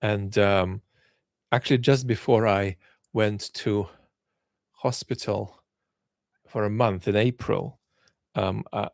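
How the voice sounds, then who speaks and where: A man's voice: fairly low pitch, creaky, a little mellow, dry recording, studio quality. A man hosting a question-and-answer chat, speaking thoughtfully and often repeating words as he restarts a sentence. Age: adult, lived in Israel as a child aged nine to twelve. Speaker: channel host answering viewer questions. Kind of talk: livestream Q&A commentary.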